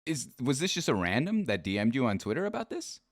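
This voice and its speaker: Lively joking male podcast host. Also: mid-range voice, quick pace, dry recording, studio quality. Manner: upbeat, joking, swears freely, pal-like. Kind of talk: casual podcast interview.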